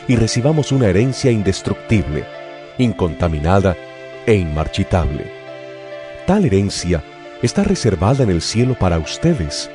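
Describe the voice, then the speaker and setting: A man narrating a dramatized Bible reading in a solemn, measured declamation. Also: low-pitched. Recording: music bed under the voice.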